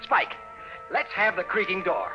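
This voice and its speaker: A man's voice: high-pitched, big pitch swings, measured pace, music in the background. An actor playing a nervous, stammering, desperate young fiancé in an old-time radio drama, telling his story. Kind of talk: old-time radio dramatic acting and first-person narration. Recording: dull vintage radio recording.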